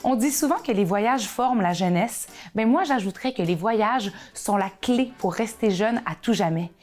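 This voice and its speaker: A woman, reading a scripted, reflective narration in a measured way. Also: medium pitch, animated, darkish tone, faint music behind. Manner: measured, thoughtful voice-over.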